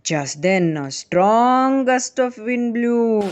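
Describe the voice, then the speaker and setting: A woman narrating a children's bedtime story in a deliberate, theatrical manner. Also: a little high, lively intonation, clean tone, lo-fi sound. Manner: deliberate, theatrical, with acted sound effects.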